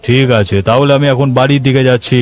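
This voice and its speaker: A man's voice: mid-range voice, steady tone, quick pace, music in the background, close to an everyday voice. A man playing a bossy, self-important village headman in a Bengali cartoon.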